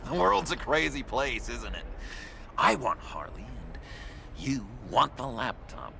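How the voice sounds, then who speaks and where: Unhinged lovestruck game villain, slow n creaky, breathy rambling w sudden outbursts. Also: male voice, medium pitch, very animated, bright, forceful tone, slight background noise, exaggerated voice. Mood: yearning. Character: lovesick, unstable, eccentric young male criminal. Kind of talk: video game voice acting.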